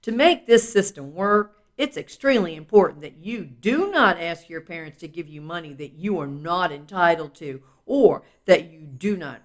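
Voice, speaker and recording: A woman reading a children's self-help book aloud in an instructive, explanatory way, with casual asides. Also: fairly low pitch.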